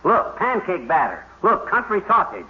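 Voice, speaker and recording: A bragging, defensive husband, lively pitch, 1950s radio comedy, dull hissy broadcast. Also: male voice, moderate pace. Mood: blustery. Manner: blustery, defensive. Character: boastful fumbling middle-aged husband.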